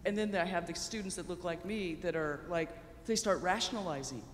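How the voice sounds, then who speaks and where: A man's voice: high voice, fairly quick, a little hiss or hum. A man in the audience, a teacher, asking the panel a passionate, rambling question. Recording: echoey hall, long reverb.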